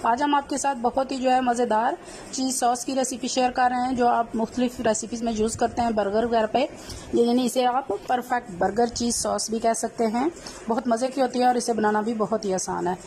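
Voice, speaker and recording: A woman, hosting a cooking channel and narrating a recipe step by step, often filling pauses with "jo hai vo". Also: a little high, steady tone, a little hiss or hum, low-quality recording. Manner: leans on the filler "jo hai vo", gentle instructive tone.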